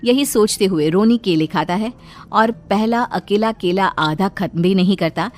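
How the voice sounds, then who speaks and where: A woman narrating a cartoon story in a steady storytelling voice, with soft music behind. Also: medium pitch, measured pace.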